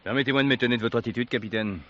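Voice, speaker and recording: An actor playing a brisk, assertive police superintendent in a television drama, formal and questioning. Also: male voice, medium pitch, a bit bright, natural voice. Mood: indignant. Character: brisk, prickly male police superintendent on holiday. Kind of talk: 1970s French television drama acting. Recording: muffled, noisy old drama recording.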